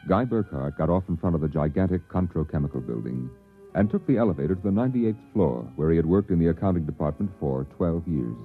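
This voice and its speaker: An actor playing the grave American storyteller of an eerie 1950s radio tale, measured and dramatic. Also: male voice, low voice, expressive. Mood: grave, suspenseful. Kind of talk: scripted narration, 1950s radio. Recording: narrowband vintage radio recording. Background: music.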